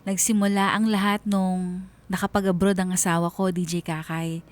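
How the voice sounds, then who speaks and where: A woman's voice: fairly low pitch, flat delivery, normal speed, lightly acted. A woman playing an aggrieved married schoolteacher, narrating her family troubles as a confession in a radio drama. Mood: aggrieved, reflective.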